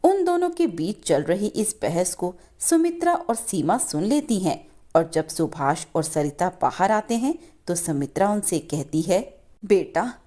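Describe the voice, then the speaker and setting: A woman's voice: on the low side, very animated, unhurried, warm tone. A woman narrating a scripted moral story as storyteller.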